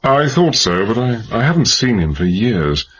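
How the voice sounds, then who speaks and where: A courteous British war hero in a radio spy thriller. The voice is low and rough-edged, earnest in both dialogue and narration, on a slightly dull recording. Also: male voice, sing-song, normal speed, fairly bright tone, full character voice. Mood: polite, earnest. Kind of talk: radio drama acting and narration.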